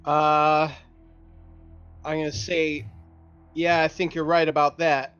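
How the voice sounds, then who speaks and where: A man's voice: on the high side, flat delivery, slow, a bit bright, clear, resonant tone. A man playing a tabletop roleplaying game, casually speaking for his character. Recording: dull lo-fi mic, music behind.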